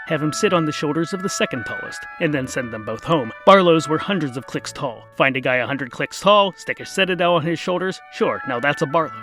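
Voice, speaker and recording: A man's voice: a little high, a bit bright. A man narrating a scripted comic fantasy story in a wry, emphatic storytelling manner.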